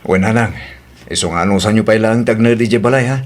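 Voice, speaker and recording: A man's voice: lowish voice, steady tone, fast talker, natural voice. A Filipino man acting in an audio drama as a tender, apologetic husband who longs for a child. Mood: earnest.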